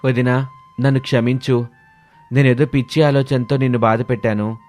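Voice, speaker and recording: A man's voice: mid-range voice, little pitch movement, moderate pace, a little mellow, soft background music, close to an everyday voice. A man voicing a frank, emotional younger brother-in-law in a family story drama. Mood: remorseful.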